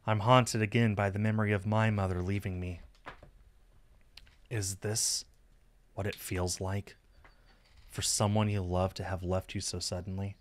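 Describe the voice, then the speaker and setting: A man's voice: on the low side, flat delivery, unhurried, long pauses. A man reading a visual novel aloud on a stream as dramatic story narration.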